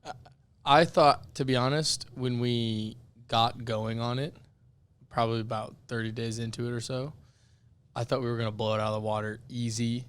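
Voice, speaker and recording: A young man co-hosting a casual podcast, his voice raspy and worn out from yelling, talking in a candid, self-deprecating way. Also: mid-range voice, steady tone, normal speed. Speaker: podcast co-host.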